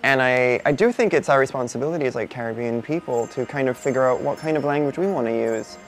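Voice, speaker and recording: A non-binary speaker with a male-sounding voice in their twenties, from Barbados, answering interview questions in a thoughtful, unhurried way with many soft fillers. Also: mid-range voice. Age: 20s. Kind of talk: reflective personal interview. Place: indoor studio before a painted backdrop.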